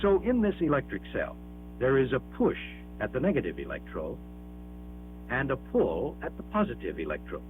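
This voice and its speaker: A man's voice: mid-range voice, wildly swooping pitch, faint music behind. Man narrating a dull-sounding 1960s classroom film. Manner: measured, explanatory, emphatic, with rhetorical questions.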